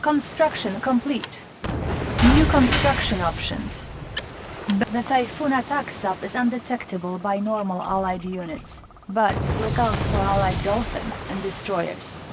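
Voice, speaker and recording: An actress playing a dutiful young Soviet intelligence officer, giving formal, composed briefings and announcements in a video game. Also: female voice, mid-range voice, a little slow, heavy background noise, natural voice.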